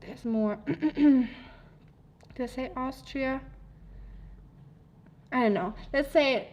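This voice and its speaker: A woman's voice: sits high, drawn-out words, darkish tone. A woman from Germany with a German accent, hosting a casual livestream and chatting through viewer comments in a rambling, easygoing way. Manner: rambling, chatty, laughs easily. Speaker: livestream host.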